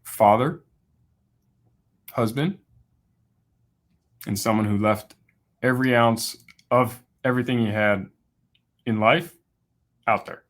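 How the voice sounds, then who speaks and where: A man's voice: medium pitch, slow pace, pauses often, a bit bright. Man in his forties, agreeable guest. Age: 40s. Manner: brief, agreeable replies. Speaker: guest entrepreneur.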